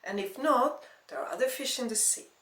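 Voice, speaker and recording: Woman putting on a high-pitched, cheerful old grandmother. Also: brisk, fairly bright tone, distant mic, lightly acted. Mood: fussing, gushing. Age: older. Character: cheerful, chatty, meddling elderly grandmother. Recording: roomy, echoing voice recording.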